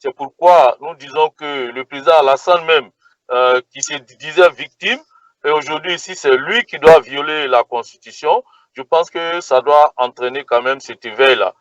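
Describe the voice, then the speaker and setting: A man's voice: on the high side, moderate pace, a bit bright, dry recording, dull audio. A man from Côte d'Ivoire answering interview questions as a protest organizer, speaking hesitantly with many fillers and restarts.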